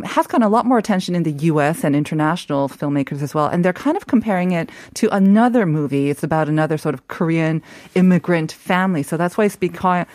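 A woman's voice: lowish voice, a little mellow, no room sound. Chatty woman hosting a radio talk show. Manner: chatty, laughs easily, keeps the discussion moving.

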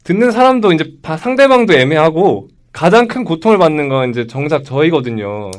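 Sex: male. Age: 20s. Style: lively panel debate. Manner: quick and animated, pitch jumping about, slightly high voice. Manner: animated, acts out other people's reactions.

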